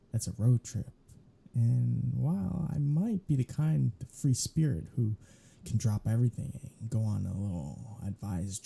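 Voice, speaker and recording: Man acting a teasing vampire, sardonic, flirtatious, gravelly fry, lively swooping pitch, ASMR audio drama. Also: heavily acted. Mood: dry, sardonic. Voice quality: husky, breathy, dark. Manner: sardonic, teasing drawl, often dropping to breathy murmurs. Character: teasing, flirtatious male vampire, sly free spirit.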